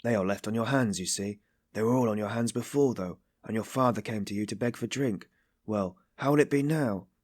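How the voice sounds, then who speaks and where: A man's voice: fairly quick, darkish tone, dry recording, studio quality, lightly acted. A man voicing a brooding, bitter man who asks terse, probing questions in an audiobook story. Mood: needling. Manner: terse, low-key, pointed questions.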